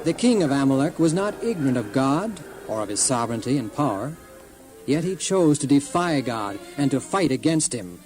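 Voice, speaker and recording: A man's voice: mid-range voice, big pitch swings, normal speed. A man narrating a dramatized Bible story in a solemn, formal storytelling manner. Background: music under the voice.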